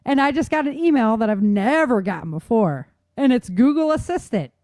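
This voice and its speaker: Female podcast co-host, higher-pitched and emphatic, opinionated casual chat, dry recording. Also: lively intonation, a little fast, darkish tone, dry recording.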